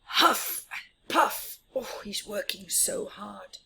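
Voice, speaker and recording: Woman, children's read-aloud, expressive swooping intonation, unhurried, breathy whispered asides, speaking to little listeners. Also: medium pitch. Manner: addresses child listeners with questions, often drops to a whisper. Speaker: children's storyteller.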